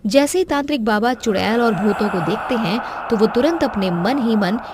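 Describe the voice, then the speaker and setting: A woman's voice: a little high, animated, normal speed, background noise. A woman reading scripted narration for a cartoon horror story.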